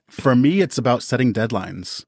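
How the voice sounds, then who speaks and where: A man's voice: mid-range voice, expressive, unhurried, gravelly, slightly rough, no echo, clean studio sound. A man speaking as a podcast guest, giving casual, thoughtful explanations as a creative artist. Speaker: interview guest, audio drama creator. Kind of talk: casual, reflective interview answers.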